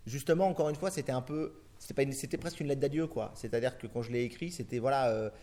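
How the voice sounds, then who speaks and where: A man's voice: medium pitch, quick pace, dark tone. A middle-aged man from France, a film director answering interview questions in long, rambling turns full of restarts and fillers.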